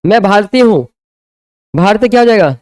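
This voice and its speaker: A man's voice: sits high, expressive, moderate pace, darkish tone. A man teaching a live online grammar lesson, quizzing students with repeated questions and answering them himself.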